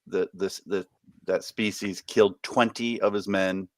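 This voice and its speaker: A man's voice: sits low, steady tone, a little slow, no echo. Chatty man, dry close mic. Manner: chatty, quizzical, jokey. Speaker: podcast host. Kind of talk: casual chat.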